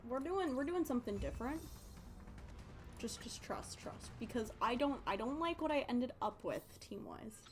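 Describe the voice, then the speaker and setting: A woman chatting casually on a game livestream, halting and repeating herself as she thinks aloud. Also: on the high side, animated, faint music behind. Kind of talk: casual gaming stream chat. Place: indoors, streaming with game audio behind.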